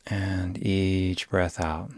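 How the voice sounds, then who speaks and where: A man guiding a yoga nidra meditation in a soothing, unhurried way, giving gentle instructions with long pauses. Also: sits low, little pitch movement, no echo. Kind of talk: guided meditation.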